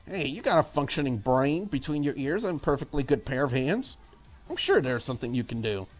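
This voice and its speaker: A man's voice: medium pitch, very animated, brisk, soft background music. A man voicing a confident, bossy young woman in a visual novel, talking in a blunt and breezy way. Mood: breezy, encouraging. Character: brash, confident young woman, breakfast cook.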